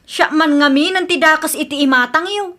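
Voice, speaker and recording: A brash, quarrelsome young daughter, acted by a woman in an Ilocano comedy radio drama. Her voice is high and bright, with an Ilocano Filipino accent and a proud, neighborly feuding manner. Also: brisk. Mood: sneering, defiant.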